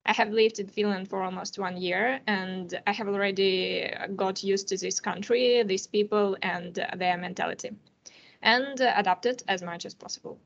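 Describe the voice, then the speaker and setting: A nineteen-year-old woman from Russia, a native Russian speaker with a Russian accent, answering interview questions as a student guest, careful and often pausing to hesitate. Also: medium pitch, steady tone, vocal fry, muffled recording, slight background noise. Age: teens.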